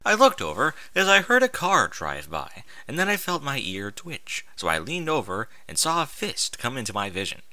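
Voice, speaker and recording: A man's voice: medium pitch, wildly swooping pitch, moderate pace, hardly any pauses, sharp tone, no room sound. A man reading a story aloud with exasperated, sarcastic, sweary commentary. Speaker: host reading and mocking amateur fan fiction aloud.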